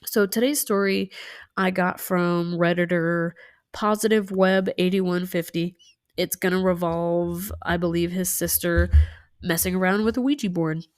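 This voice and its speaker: A woman's voice: lowish voice, steady tone, measured pace, dry recording, studio quality. A young woman chatting casually with many fillers and asides while telling a story time over a nail tutorial.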